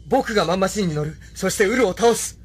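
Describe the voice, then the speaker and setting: An intense young male mecha pilot in a radio drama. His slightly high voice moves dramatically up and down, full of earnest feeling. Soft music plays behind. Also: animated, quick pace. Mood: grave resolve. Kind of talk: Japanese radio drama acting.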